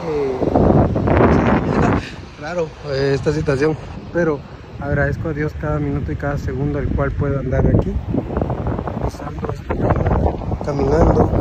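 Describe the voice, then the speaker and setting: A young Guatemalan man with a Guatemalan Spanish accent records a casual, rambling phone vlog on a beach, talking earnestly to his viewers. His voice sits a little higher than most men's. Heavy wind roars across the phone mic the whole time. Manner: earnest, rambling, says "amigos" constantly. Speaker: vlogger. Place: sandy beach outdoors on a windy day. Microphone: phone held while walking.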